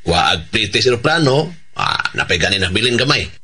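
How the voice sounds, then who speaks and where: A man's voice: lowish voice, sing-song, normal speed, noisy background. A man with a Cebuano Filipino accent playing a cheerful hired killer in a radio drama, deferential to his bosses and chuckling between sentences. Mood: gloating, casual.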